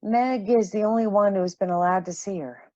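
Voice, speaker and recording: A woman acting a troubled, apologetic mother in a modern radio play, speaking earnestly and haltingly. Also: mid-range voice, darkish tone, no room sound, highs cut off, lightly acted. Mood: subdued, worried.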